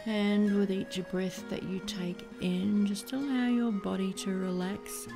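A woman leading a guided body scan meditation in a soothing, gentle way, leaving pauses between phrases. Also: mid-range voice, fairly even intonation, creaky. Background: music.

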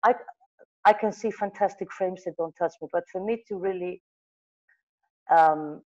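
A woman's voice: fairly low pitch, measured pace, highs cut off. A middle-aged Icelandic woman, a magazine editor, telling stories animatedly over a video call with an earbud mic, often restarting her sentences. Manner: animated storyteller, gestures, restarts and self-corrections. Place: room with wall of photos. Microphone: earbud headset mic on video call.

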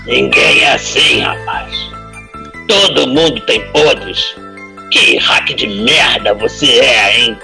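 Menacing, gravelly crime boss. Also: male voice, mid-range voice, animated, bright, forceful tone, soft background music, exaggerated voice. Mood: angry, threatening. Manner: commanding, threatening, drawn-out emphasis. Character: menacing, foul-mouthed crime boss bullying a young hacker. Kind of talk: audio drama acting.

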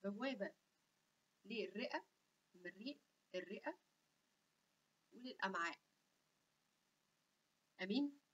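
A woman's voice: mid-range voice, expressive, a little slow, long pauses, breathy edge. A young woman with an Egyptian Arabic accent hosting a casual health vlog, talking animatedly and chatty with asides, recorded on a webcam in a small room. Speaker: health channel host. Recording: dull lo-fi webcam audio.

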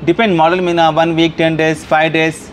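A man's voice: a little high, level tone, fast. Male furniture seller, Hyderabad Telugu accent, racing insistent patter with English mixed in, noticeable showroom noise behind. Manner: repetitive, insistent salesman patter mixing in English words. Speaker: furniture shop owner and salesman. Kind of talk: unscripted sales pitch in a product showcase. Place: large indoor furniture showroom. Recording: clear background room noise.

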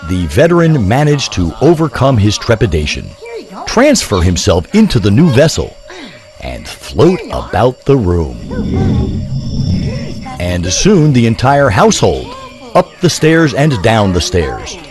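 A man's voice: lowish voice, wildly swooping pitch, a little slow, over music. A man narrating a scripted audio drama as a theatrical, wordy storyteller.

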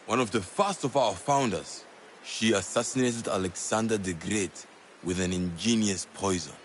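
A man's voice: fairly low pitch, normal speed, a little hiss or hum, natural voice. A man playing a learned, devout scholar of an assassin order in a game, with an instructive, formal manner. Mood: thoughtful, instructive. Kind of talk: dramatic game cutscene acting.

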